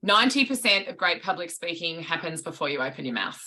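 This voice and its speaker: Woman speaking coach, low voice with a bright edge, thoughtful podcast guest. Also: big pitch swings, quick pace. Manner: articulate, reflective, restarts mid-sentence. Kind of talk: podcast interview answers.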